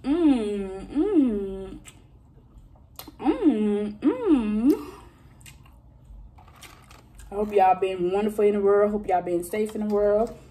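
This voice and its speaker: A woman in her thirties chatting casually with her viewers during a mukbang eating video, talking straight to them with drawn-out exclamations between bites. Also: medium pitch, animated, dark tone. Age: 30s. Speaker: vlogger.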